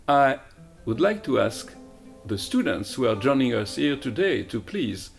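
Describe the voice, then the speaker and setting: A man's voice: mid-range voice, sing-song, unhurried, creaky, soft background music. A man presents as program director, introducing guests and asking guiding questions. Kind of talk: presenting and moderating.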